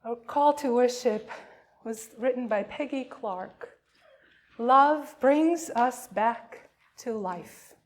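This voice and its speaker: A woman pastor welcoming a congregation and reading liturgy, with deliberate phrasing and frequent pauses. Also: a little high. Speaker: pastor leading a worship service.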